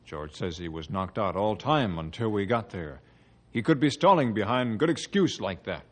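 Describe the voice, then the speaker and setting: Sober western ranger, lowish voice, clipped broken English, doubtful, radio drama. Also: male voice, animated, moderate pace. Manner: clipped, article-dropping English. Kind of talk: old-time radio western drama acting.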